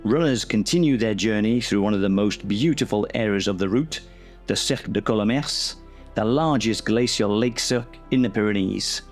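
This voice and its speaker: Measured male documentary narrator over music. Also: on the low side. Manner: measured, informative. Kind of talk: scripted narration.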